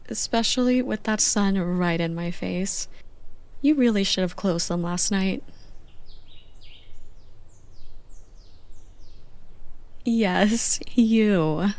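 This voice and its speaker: A woman playing a playful, bossy young girlfriend on a slow morning in an intimate, conversational ASMR roleplay. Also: mid-range voice, darkish tone. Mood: groggy, mildly grumbling.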